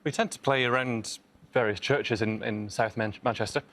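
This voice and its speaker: A man's voice: on the low side, fairly even intonation, normal speed, fairly bright tone. A man of twenty-two, a student conductor on a quiz show, polite, with stumbling restarts. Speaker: orchestra conductor, quiz contestant. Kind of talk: game show chat.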